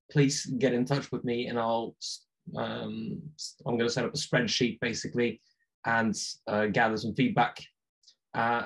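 A man whose first language is Norwegian walks through video text templates on a video call, talking in a relaxed, chatty way with lots of ums and uhs. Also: mid-range voice, low-quality recording. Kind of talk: screen-share tutorial on a video call. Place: home room with bookshelves.

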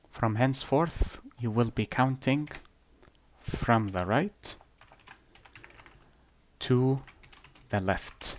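A man whose first language is Arabic, explaining number systems slowly and deliberately over an on-screen drawing, with blunt asides. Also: medium pitch. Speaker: math teacher. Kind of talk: screen-recorded whiteboard lesson.

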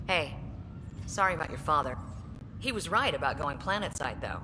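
Brisk, composed game captain, echoing space. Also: female voice, low-pitched, animated, background noise. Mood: matter-of-fact. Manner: brisk, matter-of-fact. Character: composed female ship captain. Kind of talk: video game voice acting.

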